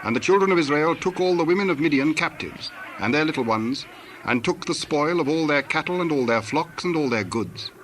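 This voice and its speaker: A man's voice: a little high, animated, a little fast, fairly bright tone, a little hiss or hum, rough recording. A man narrating scripture from a script in a formal, solemn manner.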